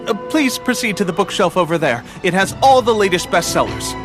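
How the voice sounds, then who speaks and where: A man voicing a flustered, deferential publishing house clerk in a video game, stammering nervously over background music. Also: high-pitched. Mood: hesitant, deferential. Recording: clear music behind the voice.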